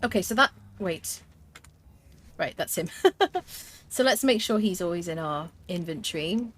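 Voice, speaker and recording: A woman streaming a survival game, chatting as she plays, thinking aloud with fillers and answering her viewers' comments. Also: fairly low pitch. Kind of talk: live gameplay commentary.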